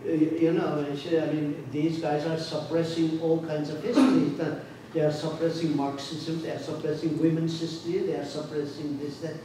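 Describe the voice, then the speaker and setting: Older male guest speaker, halting and full of fillers, fairly high voice, roomy distant sound. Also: steady tone, dark tone. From Turkey. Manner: halting, many restarts and fillers. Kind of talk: academic lecture discussion. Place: large lecture room. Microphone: podium microphone, standing. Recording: echoey room, distant mic, faint noise.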